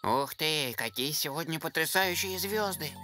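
An actor voicing a timid young animal in a dubbed children's cartoon, with an expressive, anxious delivery. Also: mid-range voice, moderate pace. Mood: calm. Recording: music behind the voice.

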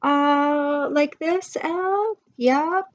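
Woman voicing excitable high-pitched storybook kid hiker. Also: drawn-out words. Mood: puzzled. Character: excitable young children hiking in the woods. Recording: dull lo-fi webcam sound.

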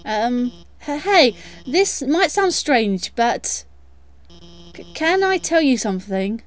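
A woman's voice: high voice, big pitch swings, slow pace, exaggerated voice. A woman voicing a shy, nervous lizard scientist in a game, stammering and hesitant. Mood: anxious, confessional. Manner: hesitant, self-doubting, earnest. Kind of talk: voiced game dialogue.

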